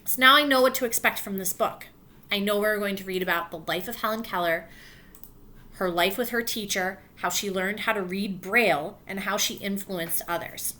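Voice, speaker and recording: A woman teacher reading a picture book aloud to her class, stopping to explain and check understanding. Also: mid-range voice. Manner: warm encouraging teacher voice, pausing to explain.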